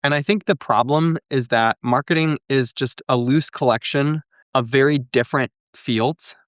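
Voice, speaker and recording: A man co-hosting a casual podcast, thinking aloud and leaning on "like". His voice is smooth and resonant, with a dry, close sound. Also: medium pitch, moderate pace, a bit bright, no echo. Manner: thinking aloud, heavy use of "like". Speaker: co-host.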